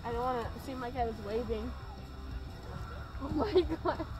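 A woman's voice: on the high side, moderate pace, over music, poor audio. A young woman teasing her friends bluntly in casual chat on a road trip, recorded on a phone inside a car at night.

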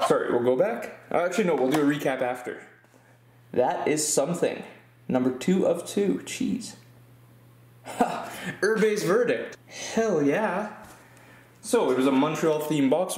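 A man hosting his own card-opening videos, chatting casually with fillers and excited exclamations, recorded by a camera mic at a tabletop indoors. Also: a little high, roomy sound, slight background noise. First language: English. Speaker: host of a card-opening channel. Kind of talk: casual hobby commentary.

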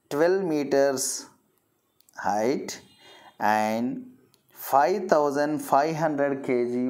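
Low-pitched woman teaching, unhurried. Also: mellow. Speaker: zoology teacher. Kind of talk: lecture explaining slides.

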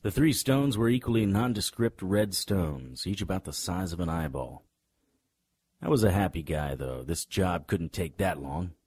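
An actor playing a sardonic, tough-talking private detective in a noir radio drama. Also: male voice, sits low, lively intonation, normal speed, a little mellow, husky, no echo. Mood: wry, world-weary. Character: hard-boiled male private detective, sardonic and threatening.

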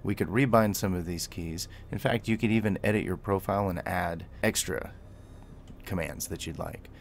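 Male tutorial host, low register, casual explainer with clipped commands, slight background ambience. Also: normal speed, vocal fry, a little hiss or hum. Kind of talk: gameplay tutorial commentary.

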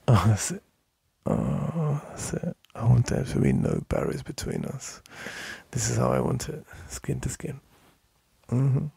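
A man with an English accent playing an affectionate, teasing boyfriend in an intimate ASMR roleplay, murmuring in a deep, breathy voice. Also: dark tone, no room sound, exaggerated voice. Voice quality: deep, breathy, husky, gravelly. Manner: slow, murmuring, with sighs and lingering pauses.

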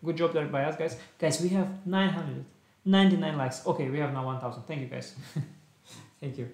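Young man, Russian-tinged English accent, gravelly, thinking aloud in clipped phrases, echoey small room. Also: a little high, moderate pace, darkish tone. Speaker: chess streamer. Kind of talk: casual live-stream chat while solving puzzles.

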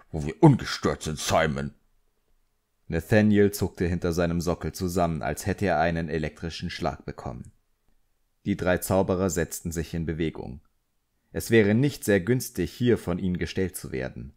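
Male audiobook narrator with a low voice, reading scripted fiction. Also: a little mellow.

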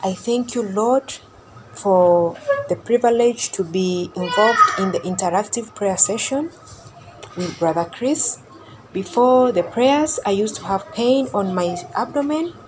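A woman's voice: mid-range voice, animated, measured pace. A woman from Tanzania giving an earnest, prayerful testimony about her healing. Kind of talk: personal testimony. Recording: background noise.

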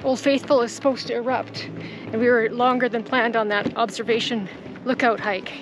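A woman vlogging outdoors on a trip, chatting casually and excitedly with little laughs between phrases. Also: a little high, normal speed, music in the background, low-quality recording. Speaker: travel vlogger. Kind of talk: casual vlog chat. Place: outdoors at a national park.

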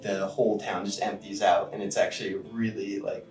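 A young man from Connecticut chatting on a podcast in a relaxed, rambling way. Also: fairly low pitch, a little fast, soft background music. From Connecticut, USA. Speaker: podcast co-host. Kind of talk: casual chat. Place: conference room, indoors. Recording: roomy sound from a distant mic.

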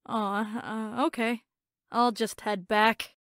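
A considerate, easygoing young woman from a sci-fi visual novel, acted by a woman. Her voice sits fairly high and sounds dry and close to the mic. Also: little pitch movement, takes it slowly, fairly bright tone, dry recording. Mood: hesitant.

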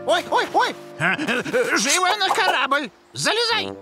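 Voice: high voice, big pitch swings, a little slow. An actor voicing a bossy, easily flustered squid pirate villain in a children's cartoon, theatrical and gloating. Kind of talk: exaggerated children's cartoon voice acting. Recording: music behind the voice.

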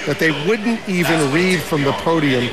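A man's voice: on the high side, animated, moderate pace, a loud crowd, rough recording. A man anchoring a TV news interview, asking questions in an indignant tone. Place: TV studio.

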